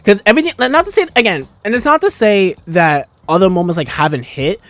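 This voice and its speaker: High-voiced man gushing over a video game. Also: lively intonation, fast talker, fairly bright tone, no echo. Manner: gushing, slangy, profane. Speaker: game streamer. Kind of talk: casual reaction commentary. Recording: game music behind the voice. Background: video game music and dialogue.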